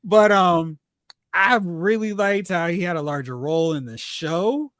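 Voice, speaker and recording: A host chatting casually about pop culture, retelling reactions in slangy, animated asides. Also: mid-range voice, unhurried, no room sound, studio quality. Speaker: host of a film and TV discussion show.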